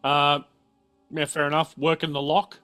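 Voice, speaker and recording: A man's voice: fairly high pitch, completely flat delivery, a little slow, sharp tone, smooth. A man running a tabletop role-playing game as game master, improvising narration and calling for rolls. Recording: music behind the voice.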